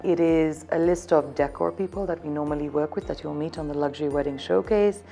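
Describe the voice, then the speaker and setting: Woman with a mellow, lowish voice. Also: creaky. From Zambia. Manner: upbeat, selling, keeps circling back to one theme. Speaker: hotel events representative. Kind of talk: promotional interview answers.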